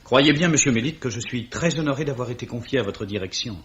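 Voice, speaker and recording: A man's voice: medium pitch, expressive, fast, loud music behind the voice, natural voice. Eager, polite young trainee played by a man. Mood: eager, deferential. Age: young adult. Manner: polite, earnest, formal. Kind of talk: 1950s French radio drama acting. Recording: dull old radio recording.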